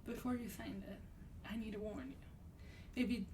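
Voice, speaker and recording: A woman playing a sweet, teasing vampire demon in a gentle, breathy, partly whispered ASMR roleplay. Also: fairly high pitch, steady tone, natural voice. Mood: gentle warning. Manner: gentle, polite, unhurried, coaxing. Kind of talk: soft-spoken ASMR roleplay. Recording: distant mic, clear background noise.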